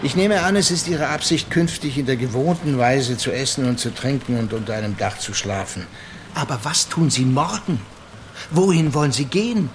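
An actor playing a grave, worldly man in a German radio play, a concerned older friend with a deliberate, literary way of speaking. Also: mid-range voice, noisy background, lightly acted. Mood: insistent, exasperated. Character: grave, worldly male narrator looking back on a troubled friend. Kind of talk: German radio drama narration and dialogue.

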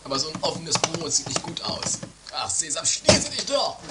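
An actor playing a self-important, grumbling breadwinner with halting, hammy delivery in an amateur comedy radio play. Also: mid-range voice, wildly swooping pitch, heavy background noise.

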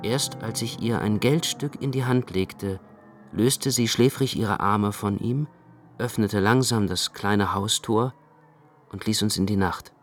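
Male radio-play narrator, lower register, measured literary reading, music bed. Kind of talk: literary first-person scripted narration.

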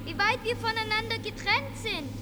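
Shrill, frightened lost boy. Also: moderate pace, noisy background. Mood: anxious, eager. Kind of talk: German radio drama acting.

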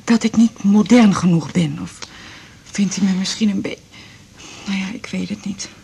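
Husky, breathy, fretful widowed mother. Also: medium pitch, dark tone. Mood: shaky, insecure. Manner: fretful, repeating herself, halting. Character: anxious, bewildered mother, newly widowed, clinging and fretful. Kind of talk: 1980s Dutch radio drama acting.

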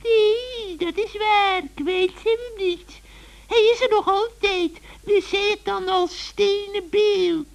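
A woman playing a gleeful, malicious old witch in a children's radio play, with an ugly cackling voice and drawn-out gloating. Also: very high-pitched, fairly even intonation, creaky, full character voice, rough recording. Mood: smug. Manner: giggling, drawn-out, theatrical gloating.

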